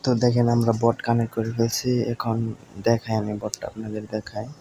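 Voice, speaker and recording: A man with a Bangladeshi accent narrates an informal screen tutorial, explaining step by step in a halting way. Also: mid-range voice, completely flat delivery, dark tone. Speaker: tutorial presenter. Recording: home recording with faint background noise.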